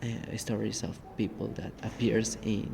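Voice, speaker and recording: A young man from Mexico speaking English with a Mexican Spanish accent, telling a story in a casual podcast chat with many "uh" pauses. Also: on the low side, steady tone, creaky, noisy background. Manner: halting, many "uh" fillers. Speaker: podcast co-host.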